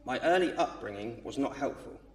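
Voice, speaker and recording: A man's voice: mid-range voice, distant mic. A man in his thirties from England, nervously reading his baptism testimony aloud. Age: 30s. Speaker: baptism candidate. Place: church. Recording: roomy church sound from off mic.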